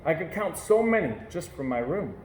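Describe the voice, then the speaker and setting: A man reading a children's picture book aloud with gentle, measured storytelling. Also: on the high side, sing-song. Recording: echoey room with faint hiss.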